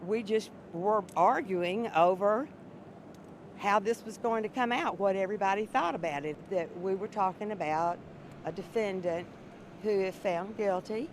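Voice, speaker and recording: A woman serving as a juror, answering interview questions in a loud voice, often restarting and repeating herself. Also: mid-range voice, measured pace, a little hiss or hum.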